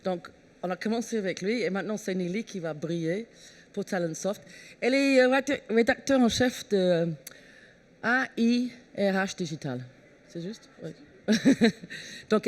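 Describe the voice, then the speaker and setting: A woman's voice: mid-range voice, moderate pace, darkish tone. A woman hosting a trade show stage, welcoming the audience and introducing a speaker in a chatty way with frequent fillers. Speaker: event host.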